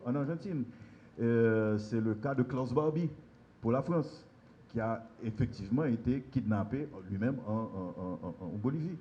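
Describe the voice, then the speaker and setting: A man's voice: medium pitch, animated, moderate pace, warm tone, muffled recording. A man giving a conference talk on law, hesitant, with many fillers and restarts. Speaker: legal conference speaker. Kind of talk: lecture on extradition law. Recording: very echoey hall, noisy.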